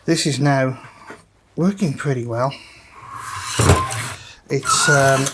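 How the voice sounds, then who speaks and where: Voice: medium pitch, slow, very noisy. A person giving casual hands-on repair commentary, thinking aloud while working at the bench. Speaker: presenter demonstrating equipment repair.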